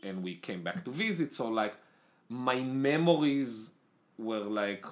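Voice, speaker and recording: A man's voice: medium pitch, animated, a little slow. A young man with an Israeli accent, talking casually as an interview guest, hesitant and full of "like" fillers, with slightly non-native grammar. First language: Italian, then Hebrew. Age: young adult. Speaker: podcast guest.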